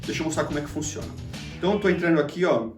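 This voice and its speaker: A Brazilian man hosts a vlog in a chatty, conversational way, full of little fillers. He talks quickly, and his voice sits a bit high. He is recorded from a little way off in an echoey room, with faint music under him. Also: fairly even intonation, recorded from a distance. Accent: Brazilian Portuguese. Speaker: YouTube channel host. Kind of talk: casual talk to camera. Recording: roomy echo, faint music behind.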